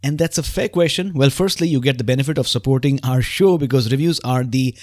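A man's voice: mid-range voice, brisk, a little mellow, dry recording, studio quality. A man hosting a podcast, speaking earnestly and emphatically straight to his listeners. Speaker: podcast host. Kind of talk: upbeat podcast hosting and reading book excerpts aloud.

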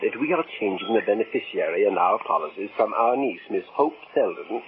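An actor in a 1950s radio drama playing an earnest elderly former professor turned doomsday crank, speaking in fervent, oratorical style. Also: male voice, fairly low pitch, animated, fairly quick, phone-quality sound, soft background music, lightly acted. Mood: solemn. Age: older. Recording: noisy, thin old radio broadcast.

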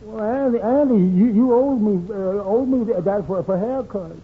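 An actor playing a meek, easily rattled barber who stammers heavily and abandons sentences, in old radio comedy style. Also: male voice, sits high, normal speed, husky. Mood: defensive. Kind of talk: 1940s radio comedy acting. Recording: scratchy, tinny old-time radio recording.

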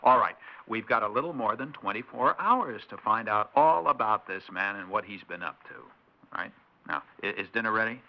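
An actor playing a suspicious, impatient suburban husband in a 1960s radio drama, blunt and insistent. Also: male voice, fairly low pitch, animated, normal speed. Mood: determined. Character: exasperated suspicious suburban husband demanding answers. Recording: dull lo-fi 1960s radio recording.

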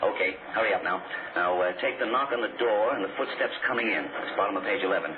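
A curt, impatient American radio director, played by a male actor in a 1940s radio drama. His voice is on the low side and he snaps out slangy orders. The old broadcast recording is thin and full of noise. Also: fast talker, phone-quality sound, lightly acted. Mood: brisk, commanding.

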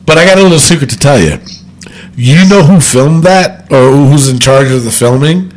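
Animated man with a stuffy nose. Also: mid-range voice, moderate pace. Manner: animated, laughs often, swears. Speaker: podcast host. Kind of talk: casual wrestling chat.

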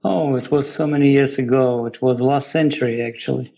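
A middle-aged man from Ukraine with an Eastern European accent, giving halting, reflective, explanatory answers as an interview guest. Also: medium pitch, fairly even intonation, creaky, warm tone, poor audio. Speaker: guest, software developer.

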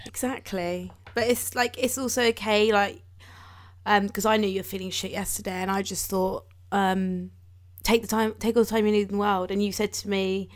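A woman's voice: medium pitch, fairly even intonation, moderate pace. A woman in her twenties, co-hosting a podcast and talking casually about feelings and growing up, with lots of fillers and laughs. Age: 20s. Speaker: podcast co-host. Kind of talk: casual chat.

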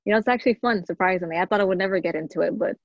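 A woman's voice: fairly low pitch, very fast, no room sound, lo-fi sound. A woman guest on a podcast, chatting in an easygoing, giggly way with lots of fillers. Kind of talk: casual chat.

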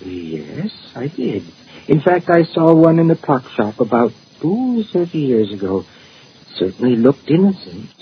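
A man's voice: mid-range voice, big pitch swings, a little slow. A man playing a kindly older clockmaker and father in a vintage radio drama, musing and hesitant as he talks. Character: kindly elderly father, a clockmaker and shop owner. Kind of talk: 1940s radio drama acting. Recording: muffled, hissy old radio recording.

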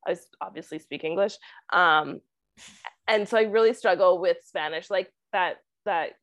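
A woman's voice: lowish voice, moderate pace, a bit bright, no echo. A young woman from Canada with a Canadian accent, talking casually as an interview guest, saying "like" constantly and laughing often. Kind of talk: casual chat.

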